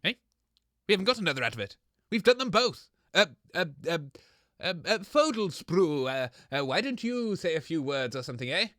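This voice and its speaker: A man's voice: high voice, big pitch swings, normal speed, pushed and bright, no room sound, studio quality, exaggerated voice. A man playing a grumpy, shameless little radio host in scripted comedy banter, blustering and dismissive. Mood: flustered.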